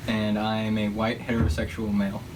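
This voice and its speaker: A man's voice: on the low side, completely flat delivery, fairly quick, far from the mic, over loud music. A man of twenty-one answering interview questions in a jokey, playful way.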